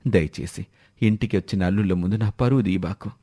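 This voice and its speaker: A man's voice: on the low side, fairly quick, mellow, pitched below the performer's own voice. A man voicing an old, meek father-in-law in debt, pleading humbly. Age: older.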